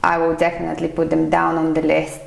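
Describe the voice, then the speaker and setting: A woman's voice: low-pitched, completely flat delivery, quick pace, creaky, echoey room. A woman from Bulgaria in her thirties, a finance professional, answering interview questions in a thoughtful, hesitant way with many fillers. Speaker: chief financial accountant, interview guest. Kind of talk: casual podcast interview.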